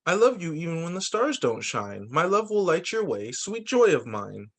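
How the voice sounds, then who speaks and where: A man who teaches young children, reading picture books aloud in an expressive, sing-song storytime delivery. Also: on the high side, normal speed. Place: remote video call story session.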